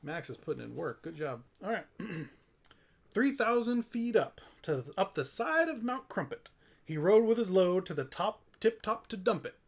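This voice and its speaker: A man with a fairly high voice narrates a children's storybook. His delivery is theatrical and playful, with big melodic swings from phrase to phrase. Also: big pitch swings, moderate pace, vocal fry. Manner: theatrical, playful, with offhand asides. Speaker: streamer reading a story aloud.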